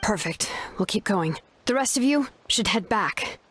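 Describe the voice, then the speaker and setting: A woman's voice: medium pitch, animated, measured pace, a bit bright, a little hiss or hum. A confident, easygoing young adventurer woman. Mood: confident, casual. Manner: casual, assured. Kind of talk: scripted video game voice acting. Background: game music.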